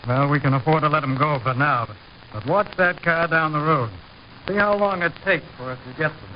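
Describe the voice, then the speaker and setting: An actor playing an easygoing but wary border patrol officer, plain and conversational, in old radio drama style. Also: male voice, on the high side, a little fast, natural voice. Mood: wary, official. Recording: dull, faintly noisy vintage radio recording.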